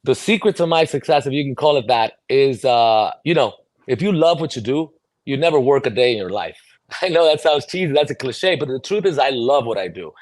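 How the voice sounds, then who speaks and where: A man's voice: mid-range voice, animated, brisk. A middle-aged man raised in New York City, born in El Salvador, explaining things earnestly as an interview guest. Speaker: podcast guest, mobility fitness trainer. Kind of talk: conversational interview answers.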